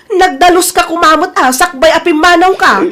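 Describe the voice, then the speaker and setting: A woman's voice: very high voice, moderate pace, a bit bright. A woman acting a strict, aggrieved older sister with a Filipino accent in a family audio drama. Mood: angry, scolding. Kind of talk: Ilocano radio-style family drama acting.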